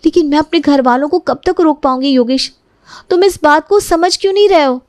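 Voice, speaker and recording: A woman's voice: high voice, brisk, a little mellow, dry recording, pitched above the performer's own voice, lightly acted. A woman voicing a pleading, lovelorn young woman in her twenties in a cartoon family story. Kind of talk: cartoon moral-story dialogue.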